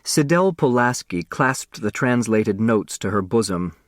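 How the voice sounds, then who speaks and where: A man narrating a novel in a scripted, expressive storytelling style with crisp phrasing. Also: lowish voice, a little slow, no room sound, studio quality. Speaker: audiobook narrator.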